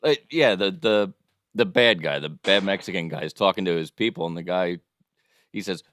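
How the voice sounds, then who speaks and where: A man's voice: sits low, normal speed, fairly bright tone, a little hiss or hum. A man producing a podcast, congested from allergies and losing his voice, teasing his co-host in casual, dry banter. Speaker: executive producer.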